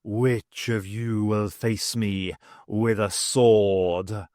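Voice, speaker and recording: A man's voice: on the low side, little pitch movement, extremely slow, a little mellow, dry recording, clean studio sound, pitched below the performer's own voice. A man voicing a proud, brave talking cat in an audiobook, bold and declamatory like a theatrical swashbuckler. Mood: defiant.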